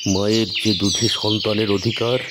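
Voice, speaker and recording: A man's voice: fairly low pitch, level tone, moderate pace, heavy background noise, natural voice. A man voicing a stern, righteous village tantric who admonishes people in a solemn, commanding way for a cartoon story. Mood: angry scolding. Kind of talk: Bengali cartoon story dialogue.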